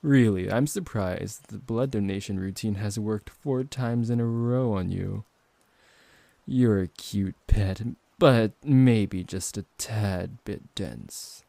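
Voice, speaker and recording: A man acting out a smooth-talking, possessive vampire professor in an intimate ASMR roleplay, politely condescending and teasing, close to the microphone. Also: fairly low pitch, slow, dark tone, dry recording. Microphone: close microphone, dry.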